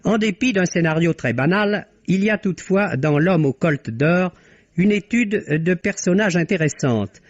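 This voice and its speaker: A man reading a scripted film review on an old radio broadcast, in a measured, articulate way. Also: high-pitched, animated, no echo. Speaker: film critic. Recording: dull lo-fi archive radio recording.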